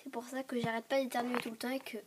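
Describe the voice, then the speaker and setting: A woman with a stuffy voice from a cold, rambling quickly through a casual show-and-tell vlog and often dropping to a whisper. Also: a little high, flat delivery. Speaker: vlogger showing a toy dollhouse. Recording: clear background noise and clicks.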